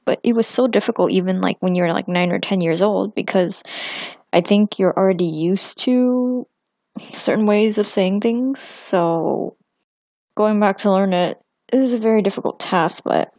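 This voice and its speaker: A young woman hosting a casual podcast chat, relaxed and rambling with many fillers. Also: mid-range voice, a little slow, dry recording. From Vietnamese American family. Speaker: podcast host.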